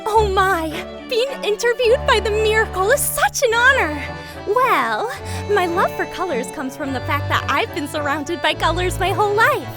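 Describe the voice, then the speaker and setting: A woman voicing a bubbly, sweet, excitable young girl in a fan audio drama. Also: extremely high pitch, moderate pace, over music. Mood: curious, bubbly. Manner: gushing, excitable, exclamatory. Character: bubbly, sweet, energetic young girl who loves colors.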